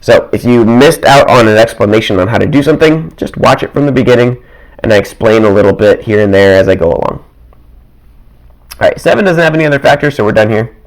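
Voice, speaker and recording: A man teaching math in a casual, worked-example way, with a raspy, dry-throated voice that keeps clearing, thinking aloud with small chuckles and asides from his desk. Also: lowish voice, rough recording. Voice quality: raspy, getting rougher.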